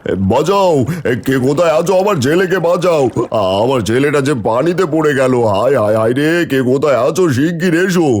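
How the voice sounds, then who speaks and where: A man's voice: on the high side, lively intonation, fairly quick. A man playing a commanding village landowner and emotional father in a cartoon folk tale, speaking dramatically. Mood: panicked. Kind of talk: Bengali cartoon fairy tale dubbing.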